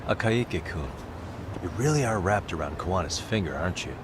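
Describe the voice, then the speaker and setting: Low-voiced, wry detective. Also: male voice, animated, brisk, background noise. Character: cool, confident male private detective, dogged and sardonic. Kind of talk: video game cutscene acting.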